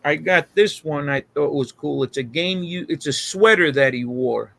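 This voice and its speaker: Gravelly American man chatting, slangy. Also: a little high, moderate pace, fairly bright tone. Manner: profane, slangy. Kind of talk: casual chat.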